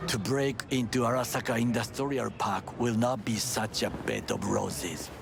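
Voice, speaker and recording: An actor playing a stern middle-aged bodyguard in a video game, with a Japanese accent and formal, terse phrasing. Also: male voice, medium pitch, fairly even intonation, unhurried, creaky. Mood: commanding, dry. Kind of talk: cinematic video game dialogue. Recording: game dialogue over street and vehicle noise.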